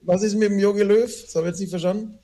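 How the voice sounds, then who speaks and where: A man's voice: sits high, steady tone, quick pace, warm tone. A man from a band answering interview questions casually, rambling with many fillers. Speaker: band member.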